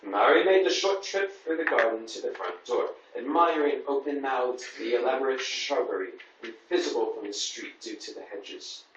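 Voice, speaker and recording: A man's voice: mid-range voice, expressive, moderate pace, hardly any pauses, fairly bright tone, muffled recording. A man giving a dramatic prose reading to a group, with casual banter in between. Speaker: reader at a writers' group reading. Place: echoey room with a group present. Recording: very echoey, noisy room, distant mic.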